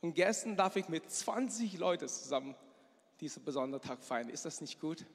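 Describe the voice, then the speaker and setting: A man from Malaysia preaches in a halting way, in short phrases with pauses and self-corrections. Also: on the high side, animated, slight background noise. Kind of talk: sermon with personal stories. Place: large church hall, live before a congregation. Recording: long hall reverb from a church PA.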